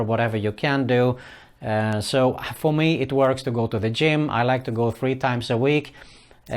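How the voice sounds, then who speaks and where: Swedish man giving casual online tips. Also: medium pitch. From Sweden. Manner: hesitant, frequent "uh" fillers, non-native phrasing. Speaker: online marketing vlogger. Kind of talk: casual advice talk to camera.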